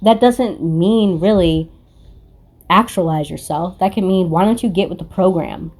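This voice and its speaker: Blunt, low-voiced woman card reader. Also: measured pace, a little mellow. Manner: blunt, confrontational, swearing freely. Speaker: tarot card reader and spiritual content creator. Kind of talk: casual spiritual card reading.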